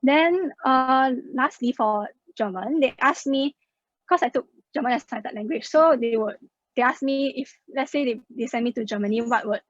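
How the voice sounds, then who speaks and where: Young woman, chatty slide presenter, fairly high voice, dry dull recording. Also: normal speed, dry recording. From Singapore. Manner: chatty, many fillers like "uh" and "like". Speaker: scholarship student sharing her experience. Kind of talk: slide presentation, casual and explanatory.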